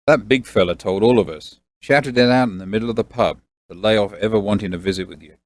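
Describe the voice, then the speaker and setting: A man playing a polite, nervous, well-bred young gentleman who has been drinking, in an audio drama. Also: lowish voice, quick pace, no echo, natural voice. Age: young adult.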